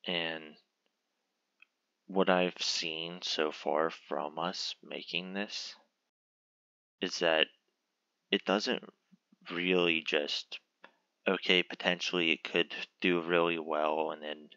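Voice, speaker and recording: A young man hosting his channel, explaining its dragon mascot in a relaxed, rambling way with lots of fillers. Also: a bass voice, gravelly, no echo, muffled recording. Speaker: channel host. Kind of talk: casual talk to camera about a channel mascot.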